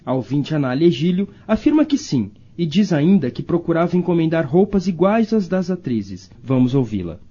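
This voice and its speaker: Brazilian guy narrating radio doc from script, soft mellow tone, slightly high, muffled audio. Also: very animated, moderate pace, few pauses, breathy edge. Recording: dull lo-fi audio.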